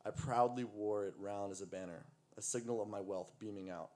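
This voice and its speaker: A man with an American accent and a fairly low voice speaks at an open mic. He is an amateur poet, moving between recited verse and casual explanation. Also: fairly even intonation, fairly quick, a little mellow. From United States (Texas), later East Africa. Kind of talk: poetry recital with casual introductions. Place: live open mic event at a coffee venue.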